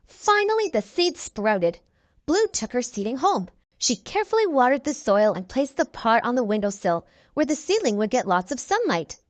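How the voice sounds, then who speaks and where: A woman's voice: a little high, sing-song, moderate pace, no echo. A woman narrating a children's book aloud, expressively and with careful enunciation. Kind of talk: read-aloud storytelling for young children.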